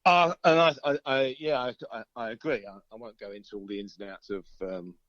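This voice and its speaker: A man's voice: mid-range voice, brisk, a bit bright, dry recording. An easygoing man chats casually as an interviewed band musician, rambling and often hesitating over his words. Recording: dull lo-fi call audio.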